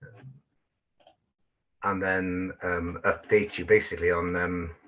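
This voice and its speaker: A Welsh man talks over a video call, his low voice bright and forceful. He halts often with fillers and restarts. The audio is dull and lo-fi. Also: flat delivery, takes it slowly. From North Wales. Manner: hesitant, many fillers and restarts. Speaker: guest speaker, project manager. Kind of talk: online talk presentation.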